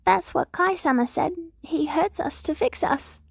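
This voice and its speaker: A woman's voice: very high voice, a little slow, vocal fry, no echo, clean studio sound, pitched above the performer's own voice, full character voice. Woman as a tiny, timid whispering little girl. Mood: fearful. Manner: whispering, hesitant.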